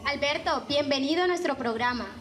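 A woman's voice: sits high, a little fast, fairly bright tone. Young woman reading formal questions. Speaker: interviewer. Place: indoor hall. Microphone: handheld microphone.

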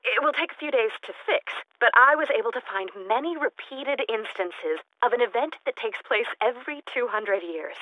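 A woman's voice: on the high side, expressive, normal speed, few pauses, dry recording, narrow, tinny audio. An actress playing an eccentric, unstable woman scientist in a video game, recording lonely logs in formal, wordy, clinically detached speech through a recorder-like radio filter. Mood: restrained excitement. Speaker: video game character actor. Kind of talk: in-character audio log monologue. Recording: thin, band-limited recorder sound.

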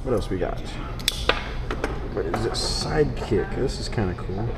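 A man's voice: lowish voice, lively intonation, a little slow, very noisy. A man casually talking to himself while browsing products in a shop, exclaiming now and then, recorded on a head-mounted camera. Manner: musing to himself, exclaiming at items. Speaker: vlogger touring a shop. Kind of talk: casual handheld product walkthrough. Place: indoor retail store. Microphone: head-mounted action camera.

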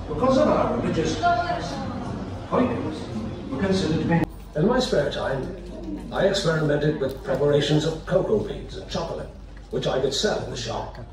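A man's voice: a little high, big pitch swings, moderate pace, recorded from a distance. An actor playing an earnest young Quaker chocolate maker, telling his own story in a scripted exhibit monologue. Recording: echoey exhibit audio played from off.

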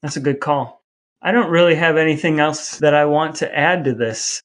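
Casual male podcast co-host, slightly high voice. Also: moderate pace.

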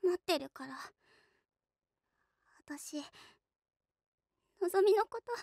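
Impassioned teenage band girl, anime. Very high, bright, swooping delivery, insistent and childlike. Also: lively intonation, slow, gravelly, dry recording, clean studio sound, exaggerated voice. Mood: determined. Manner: emotional, insistent, childlike plain speech. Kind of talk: Japanese anime voice acting.

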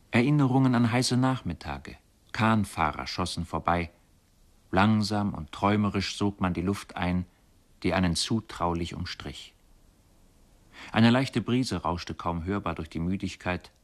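Radio drama: solemn, dreamy poetic speaker, very unhurried, low-pitched, deliberate recitation. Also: male voice. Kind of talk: poetic recitation, 1970s German radio play.

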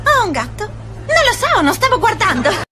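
A woman voicing a lively, guileless young character in a dubbed cartoon. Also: extremely high pitch, normal speed, a little hiss or hum, full character voice. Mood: carefree. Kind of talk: animated dub acting.

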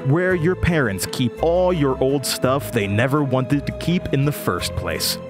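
An American man in his twenties playing a weary, sardonic Midwestern horror radio host in an audio drama, with a dry, halting manner. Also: medium pitch, animated, natural voice. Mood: showy, spooky. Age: 20s. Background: music behind the voice.

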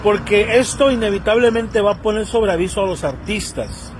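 A Mexican man in his thirties rants casually into his phone camera outside, his voice pitched fairly high. He talks in slang and acts out the people he quotes. Faint traffic hum sits behind him. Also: normal speed. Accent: Mexican Spanish. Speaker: vlogger. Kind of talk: casual commentary rant. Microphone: phone selfie camera.

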